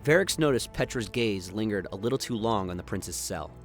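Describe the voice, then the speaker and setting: A man's voice: fairly low pitch, expressive, a little fast, soft background music. A man reading dramatic scripted narration for a sci-fi radio play, as the storyteller.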